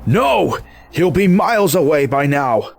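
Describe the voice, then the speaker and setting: Man acting an earnest low-voiced robot hero. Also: lively intonation, a little slow, slightly rough, faint music behind, heavily acted. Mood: urgent. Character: earnest, principled robot hero leading a squad. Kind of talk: audio drama acting.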